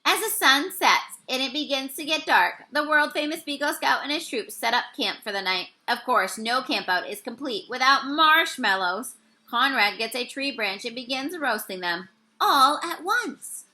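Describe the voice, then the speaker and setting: A woman reading a children's story aloud, with expressive and carefully phrased narration. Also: sits high, normal speed. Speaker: storybook reader.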